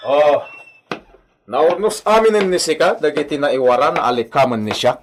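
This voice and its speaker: An actor with a Filipino accent playing a bossy, pompous young master who poses as Spanish gentry in a radio drama. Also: male voice, on the high side, moderate pace, slight background noise, close to an everyday voice. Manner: pompous, commanding, peppered with Spanish words.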